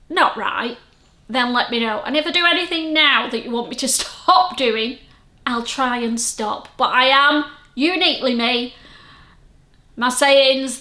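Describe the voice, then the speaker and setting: A woman's voice: a little high, bright, forceful tone. Chatty Lancashire woman in an echoey room. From Lancashire, England. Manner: friendly, rambling, self-deprecating. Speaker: sewing vlogger. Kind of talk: chatty vlog to camera. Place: at home. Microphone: camera-mounted microphone. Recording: echoey room, camera mic.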